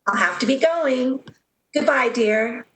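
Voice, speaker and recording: A woman acts out a young switchboard operator in love, from a 1930s radio drama. Her voice is fairly high and swoops expressively. She is dreamy, earnest and gushingly romantic. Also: lively intonation, slow pace, fairly bright tone, full character voice. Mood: eager, flustered.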